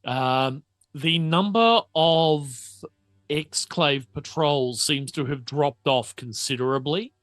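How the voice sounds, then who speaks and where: A man's voice: a little high, slow pace, fairly bright tone, dry recording, studio quality. An Australian man running a tabletop game casually, hesitantly piecing his narration together as game master.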